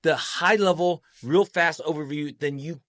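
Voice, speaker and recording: A man in his fifties, born in Vietnam and raised in Kansas and Texas, telling his life story as an interview guest in an animated, joking way with laughs mixed in. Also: a little high, moderate pace, no room sound, studio quality. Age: 50s. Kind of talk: casual storytelling.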